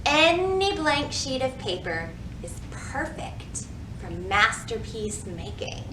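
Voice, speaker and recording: A woman hosting a children's storytime, reading and teaching in an animated way with careful enunciation for young listeners. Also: a little high, takes it slowly. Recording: roomy echo, faint background noise.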